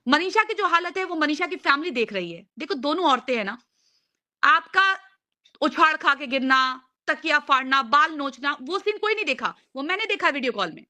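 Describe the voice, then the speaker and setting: High, bright-voiced young woman pleading. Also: expressive, moderate pace. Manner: earnest, insistent, repetitive pleading. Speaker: live stream host. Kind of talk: casual live chat, pleading mediation.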